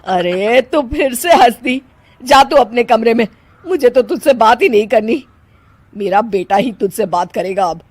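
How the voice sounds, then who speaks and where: A woman voicing an easily offended, bossy middle-aged mother-in-law in an animated story, with a scolding, exclamatory way of talking. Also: a little high, a little slow. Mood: angry. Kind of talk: animated moral story voice acting.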